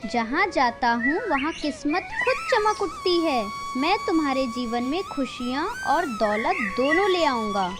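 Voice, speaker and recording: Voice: high voice, wildly swooping pitch, measured pace, few pauses, full character voice. A performer voicing a small, kindly magical rabbit in a cartoon story, earnest and childlike, with an innocent, reassuring way of talking. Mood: earnest, promising. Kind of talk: animated Hindi moral story dialogue. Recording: loud music behind the voice.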